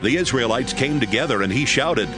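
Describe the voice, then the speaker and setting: A man narrating scripture in a steady, measured storytelling voice. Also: mid-range voice. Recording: narration over background music.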